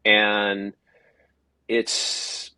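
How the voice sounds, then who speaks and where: A man's voice: on the low side, completely flat delivery, very slow, sharp tone, smooth, no echo, low-quality recording. A man, a guest on a podcast, talking casually and thoughtfully about organizing open water swims, often restarting his sentences. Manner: thoughtful, rambling with frequent restarts. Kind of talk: casual interview chat.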